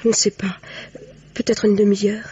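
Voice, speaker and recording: Frightened young woman, husky mid-pitched voice, hesitant, pleading, melodramatic 1950s radio acting, muffled recording. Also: flat delivery, moderate pace, warm tone. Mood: subdued confiding. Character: frightened, guilt-ridden young woman confiding in police. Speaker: actress in a radio mystery play.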